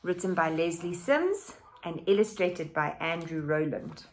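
A woman's voice: fairly low pitch, measured pace. A middle-aged woman, a teacher, reading a children's story aloud expressively, with questioning asides to young pupils. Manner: expressive, exaggerated, with questions to listeners. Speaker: teacher reading to her class. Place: living room at home.

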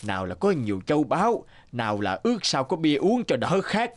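A man's voice: fairly high pitch, huge pitch swings, fairly quick. A man playing a greedy, flustered rich husband in a children's fairy-tale cartoon, muttering and exclaiming theatrically. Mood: dithering.

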